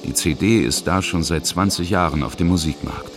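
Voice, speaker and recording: A man's voice: low voice, moderate pace. A man narrating a scripted radio feature. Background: music.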